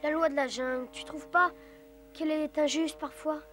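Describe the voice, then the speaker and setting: A woman's voice: sits high, fairly even intonation, moderate pace, background noise, natural voice. A woman voicing an earnest, youthful jungle boy raised by wolves, in a French cartoon dub.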